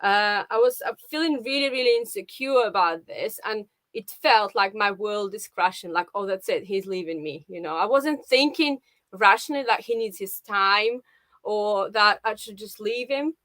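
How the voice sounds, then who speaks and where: A woman's voice: mid-range voice, normal speed, fairly bright tone, no room sound. A woman in her forties with a Russian accent, a guest on a live video call, talking casually and hesitantly with many fillers.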